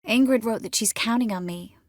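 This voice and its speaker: An actress playing a caring, wry and determined adult sorceress in a video game, with an earnest, expressive dramatic delivery. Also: female voice, on the high side, a little fast, no echo, studio quality, lightly acted. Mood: earnest, composed.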